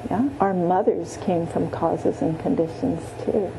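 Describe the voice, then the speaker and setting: A woman teaching a meditation class in a gentle, halting way, often pausing and adding "yeah.". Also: lowish voice, fairly even intonation, vocal fry, background noise. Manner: gentle, many pauses and restarts, frequent "yeah". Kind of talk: guided Buddhist meditation teaching. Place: meditation room.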